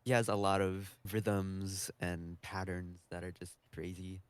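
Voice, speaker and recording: A man's voice: deep voice, little pitch movement, unhurried, clear, resonant tone, no room sound. A man speaking casually as a podcast guest, answering interview questions in a conversational style.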